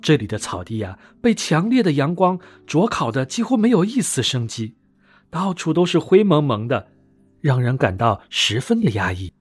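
A man narrating a bedtime fairy tale for young children in an expressive, dramatic storytelling manner. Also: a little high, normal speed, husky. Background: background music.

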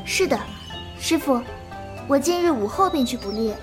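A woman playing a gentle, patient young martial arts disciple in a Chinese period audio drama, soft-spoken and earnest. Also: sits high, animated, normal speed, over music. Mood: respectful, reflective.

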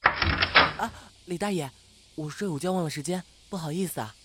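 A young performer playing a timid, excitable half-demon who is hesitant and easily flustered, in an audio drama. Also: medium pitch, normal speed, very noisy, lightly acted.

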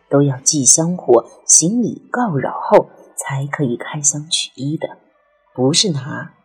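A woman a breathy voice and reads literary narration in a measured, expressive, dramatic way, as an audiobook narrator. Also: sits low, poor audio. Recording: music under the voice. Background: background music.

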